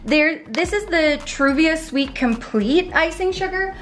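Bubbly thirties woman, home kitchen vlog, high animated voice. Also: unhurried, a little hiss or hum. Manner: bubbly, playful, gasps and laughs often. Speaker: fitness cooking vlogger. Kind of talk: casual cooking demo chat.

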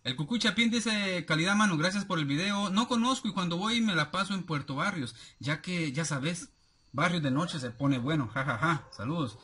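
Male vlogger, thirties, Guatemalan accent. Slightly high, husky voice; loose, familiar delivery while reading viewer comments aloud. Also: lively intonation, moderate pace, bright, forceful tone. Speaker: YouTube vlogger. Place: small cluttered room indoors at home.

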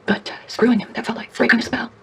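A woman playing a probing, candid woman in an intimate screen drama, with a husky, breathy voice. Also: fairly high pitch, level tone, fast, in a whisper. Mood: frank, weary. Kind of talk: naturalistic screen acting.

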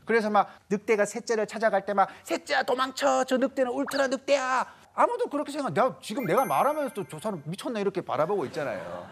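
A man in his thirties giving a lively lecture to a studio audience, acting out little quoted voices as he goes. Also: high-pitched. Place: large TV studio stage with audience.

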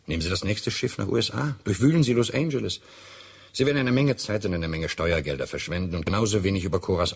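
An actor playing a courteous but bitter betrayed doctor in a radio drama, gravelly, formal and emphatic. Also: male voice, deep voice, exaggerated voice. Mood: cold, insistent. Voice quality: gravelly, husky. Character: courteous, bitter, self-righteous American doctor, betrayed husband. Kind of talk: German radio drama acting.

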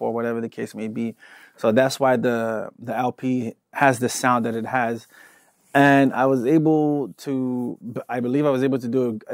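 A man in his thirties from Angola, whose first language is Portuguese, tells his story with lively gestures. He speaks at a middling pitch. The sound is close and dry, recorded in a studio. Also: no echo. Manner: animated storytelling with hand gestures, shifting energy. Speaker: guest artist. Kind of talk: casual interview.

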